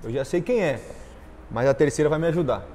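A man's voice: on the high side, expressive, a little fast, mellow, long reverb tail, a little hiss or hum. A man, a footballer bantering, teasing and swearing in a guessing game, in an indoor gym. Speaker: football player, quiz contestant.

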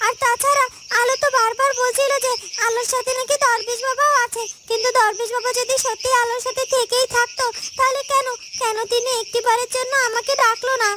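A worried young girl from a cartoon fairytale, speaks in an extremely high, small voice. She hesitates and repeats words with little melodic movement, over clear background noise. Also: flat delivery, brisk. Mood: hesitant, resolute. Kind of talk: cartoon dubbing.

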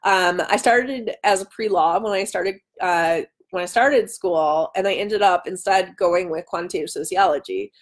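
A woman's voice: lowish voice, moderate pace, hardly any pauses. A woman in her thirties chatting casually as she hosts a live question session, wandering off on tangents, recorded at home on a webcam. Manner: chatty, self-interrupting tangents. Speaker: author hosting a live chat. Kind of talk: casual chat, answering viewer questions. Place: home dining room. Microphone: webcam at arm's length.

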